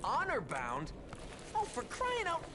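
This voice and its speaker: An actor playing a dutiful teenage turtle team leader in a cartoon game, firm like a big brother. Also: male voice, very high-pitched, wildly swooping pitch, slow, background noise, full character voice. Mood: exasperated, urgent. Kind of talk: cartoon video game acting. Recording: loud music behind the voice.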